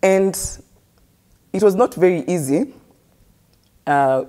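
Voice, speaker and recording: Mellow-voiced Kenyan woman telling her story. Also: on the low side, slow. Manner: earnest storytelling, switching between English and street slang. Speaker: guest. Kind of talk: conversational life story.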